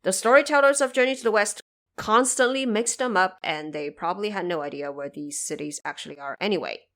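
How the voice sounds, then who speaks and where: A woman hosting a podcast, reading scripted explanatory notes and addressing her listeners directly in a measured way. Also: mid-range voice, fairly bright tone. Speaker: podcast host.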